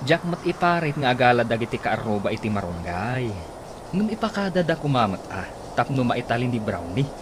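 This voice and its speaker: Actor as animated Ilocano country man, radio comedy, mid-range voice, noisy farmyard track. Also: medium pitch, a little fast, natural voice. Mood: chatty. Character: village man, an animated rural neighbour. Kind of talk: comedy radio drama acting. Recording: noisy track with birds and roosters.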